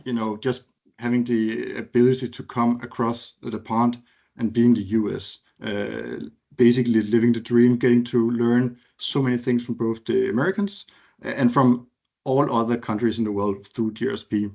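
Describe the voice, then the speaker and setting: A man's voice: mid-range voice, a little mellow. A man from Denmark in his thirties, reminiscing casually on a video call, hesitant with many fillers and restarts. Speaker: alumni speaker. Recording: muffled video call audio.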